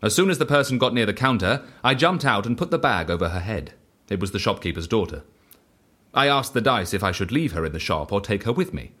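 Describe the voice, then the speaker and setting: Reckless dice-obsessed fugitive, audio drama. Low, very animated, evangelical, frank about the outrageous. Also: male voice, a little fast. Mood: earnest confession. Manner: excitable, evangelical, matter-of-fact about outrageous deeds. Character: reckless, exhilarated male dice devotee on the run.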